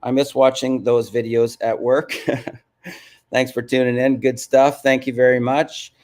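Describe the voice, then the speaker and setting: A man's voice: mid-range voice, level tone, measured pace, a little mellow. A man hosting a live stream, answering viewers and stressing points with frequent "okay?" check-ins. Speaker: host of a live business stream.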